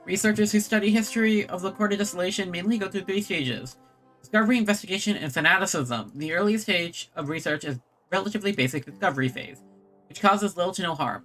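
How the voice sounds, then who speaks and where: A woman's voice: on the low side, a bit bright. A woman streaming a video game, giving casual commentary and reading in-game text aloud. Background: game music.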